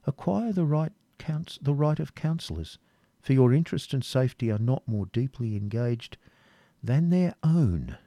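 Man acting a stern, measured senior royal adviser. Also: medium pitch, animated, a little mellow, no room sound, natural voice. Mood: low, pointed.

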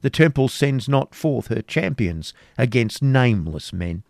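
A man voicing a scheming, authoritative older knight who speaks in a commanding, smoothly persuasive way. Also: medium pitch, sing-song, unhurried, a little mellow, dry recording, clean studio sound.

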